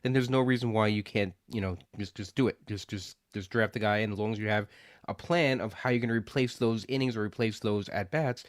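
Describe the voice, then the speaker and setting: A man's voice: fairly low pitch, fairly quick, dry recording, clean studio sound. A man co-hosting a sports podcast in casual chat, loose and hedging, often repeating words as he thinks. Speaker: podcast co-host.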